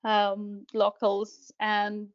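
A woman's voice: medium pitch, completely flat delivery, extremely slow, smooth, lo-fi sound. A woman with a Romanian accent chatting casually as an interview guest, searching for words as she goes. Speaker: podcast guest, tour operator.

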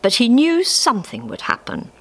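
A woman's voice: a little high, wildly swooping pitch, moderate pace, a bit bright, slightly rough. A woman narrating a scripted story expressively, recorded live in a theatre. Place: small theatre stage before an audience. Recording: live theatre recording with faint background noise.

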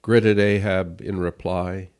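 Male audiobook narrator, lowish pitch, slow pace, measured phrasing, scripted literary reading. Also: flat delivery, darkish tone, clean tone.

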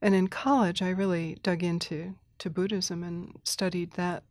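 A middle-aged woman in a reflective interview, her voice sitting a touch low. She talks thoughtfully, with small restarts, soft laughs and the odd throat clearing. The sound is dry and close. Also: little pitch movement, normal speed, no room sound. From Thailand. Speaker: interview guest.

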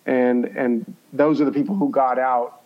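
A man's voice: mid-range voice, fairly even intonation, unhurried. Slightly muffled man telling his story. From born in Cuba, grew up in the United States. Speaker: podcast guest, entrepreneur. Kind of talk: conversational personal storytelling. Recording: slightly dull, lo-fi sound.

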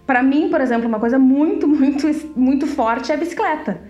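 A woman's voice: high voice, brisk, warm tone, highs cut off. Brazilian woman chatting in an echoey room. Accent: Brazilian Portuguese. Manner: chatty, laughs often, stretches words. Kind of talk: casual conversational chat. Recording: echoey room with faint music.

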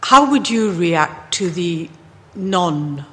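Woman audience member with a lowish voice, asking a question haltingly under long hall reverb. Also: animated. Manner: halting, many fillers.

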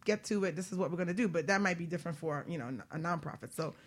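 A woman hosting a talk show and chatting casually with a guest in an informal, expressive, slangy way. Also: on the low side, very fast. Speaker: talk show host and business coach. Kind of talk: casual interview chat.

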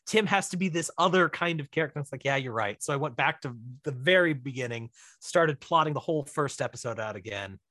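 A man with a slightly high voice talks casually as a guest in an interview. He is animated and rambling, often doubling back to rephrase himself. The sound is close and dry. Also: fast, few pauses, no echo. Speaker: writer-director of audio dramas, podcast guest.

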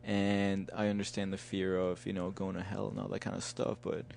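A man's voice: low-pitched, completely flat delivery, normal speed, vocal fry, darkish tone, a little hiss or hum. A young man hosting a podcast, talking casually and reflectively in an earnest way. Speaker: podcast host.

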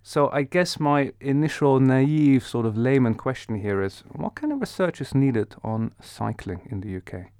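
A man from the Netherlands hosting a conversational academic interview, speaking in a measured, explanatory way. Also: mid-range voice, animated, warm tone, no echo, clean studio sound. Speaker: interview host, academic economist.

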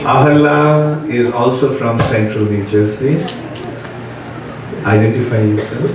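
A man leading an initiation class, introducing participants to the group in an unhurried, informal way. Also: mid-range voice. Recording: echoey hall, distant mic, loud crowd noise.

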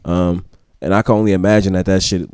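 A man co-hosting a podcast, chatting in a relaxed slangy way and tossing in questions. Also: low-pitched, fast talker, clean tone, no echo, studio quality. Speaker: podcast co-host. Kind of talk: casual podcast conversation.

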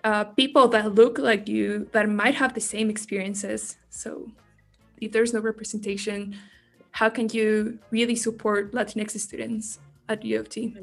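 A woman's voice: medium pitch, flat delivery, unhurried, vocal fry, faint music behind. A young woman, a university student, explaining her views in a casual podcast discussion. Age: young adult.

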